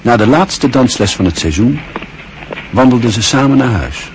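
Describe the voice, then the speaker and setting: A man narrating a radio play in a measured storytelling manner. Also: medium pitch, animated, a little hiss or hum, low-quality recording.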